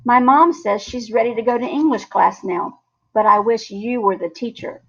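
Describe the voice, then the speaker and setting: Woman as a gentle, high-voiced shy schoolgirl. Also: moderate pace, lo-fi sound. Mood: grateful. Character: shy, gentle young immigrant schoolgirl confiding in her pet.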